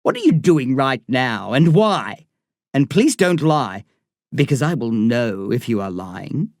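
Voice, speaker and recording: Creepy insistent phone guy, read by a man, slow and dry sounding. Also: medium pitch, sing-song, darkish tone, no room sound. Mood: ominous. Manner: insistent, ominous. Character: mysterious urgent man on a phone line. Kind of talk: audiobook dialogue.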